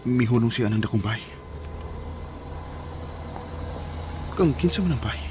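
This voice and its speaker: A man's voice: mid-range voice, expressive, racing, noisy background, natural voice. A man acting in a Philippine radio drama as an inquisitive man secretly tailing someone, watchful, with a Filipino accent. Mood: watchful, suspicious. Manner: watchful, self-narrating, inquisitive.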